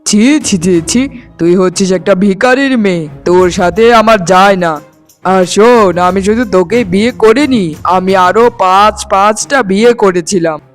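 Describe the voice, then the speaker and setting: A man's voice: sits high, moderate pace, soft background music, lightly acted. A man voicing a cruel, greedy young husband, harsh and contemptuous, in a melodramatic cartoon. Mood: contemptuous, gloating.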